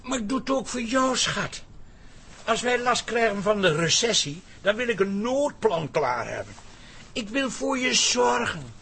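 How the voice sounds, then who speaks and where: High-voiced, stammering nervous husband. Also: male voice, big pitch swings, a little slow, bright, forceful tone, full character voice. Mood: earnest. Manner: stammering, hesitant. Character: awkward, well-meaning, nervous husband. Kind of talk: Dutch radio comedy drama acting. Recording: roomy echo, light background noise.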